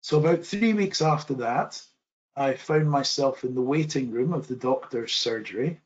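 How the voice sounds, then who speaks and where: A middle-aged man giving an online presentation in a modest, measured way, often restarting his sentences. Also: medium pitch, dull audio. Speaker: webinar presenter.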